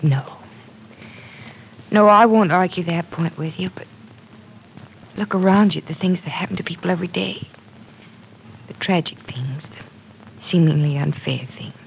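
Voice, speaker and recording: A soft-spoken earnest young woman. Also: on the low side, measured pace, gravelly, long pauses, muffled recording, a little hiss or hum. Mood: pleading. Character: earnest, gentle young woman torn in love. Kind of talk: 1940s radio acting.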